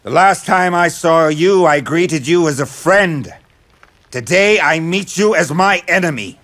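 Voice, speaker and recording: Bright, high-pitched proud warrior king. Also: unhurried. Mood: defiant. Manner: declamatory, forceful.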